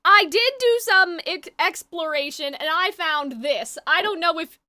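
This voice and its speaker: A woman's voice: very high-pitched, lively intonation, a little slow, bright, forceful tone. A woman chatting casually to viewers on a live game stream, informal and exclamatory, swearing freely. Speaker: video game streamer, host. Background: music.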